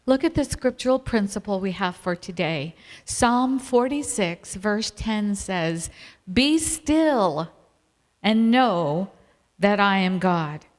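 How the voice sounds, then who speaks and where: A middle-aged or older American woman giving a religious family seminar talk to a live audience. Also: medium pitch. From Appalachian Virginia, United States. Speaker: seminar speaker. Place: large hall at a camp meeting. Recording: reverberant hall sound system.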